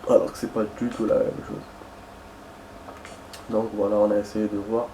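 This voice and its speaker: A man's voice: fairly low pitch, flat delivery, normal speed, mellow, a little hiss or hum, rough recording. A young man chatting casually while playing a game, rambling and hesitant, with many fillers and restarts. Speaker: gaming streamer.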